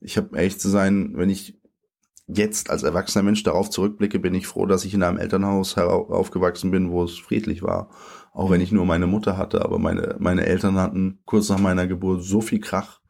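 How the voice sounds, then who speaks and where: A man's voice: sits low, level tone, a little fast, creaky, a little mellow. A man in his late twenties from Germany, a poet talking things over in an interview, thoughtful and searching, with frequent fillers and restarts. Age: late 20s.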